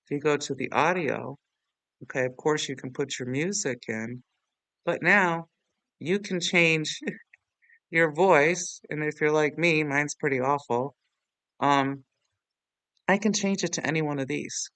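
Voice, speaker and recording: Guy doing a casual screen tutorial, slightly high voice, chatty n rambling, dry close sound. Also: unhurried, pauses often, pushed and bright, dry recording. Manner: rambling, chatty, self-deprecating. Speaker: tutorial host.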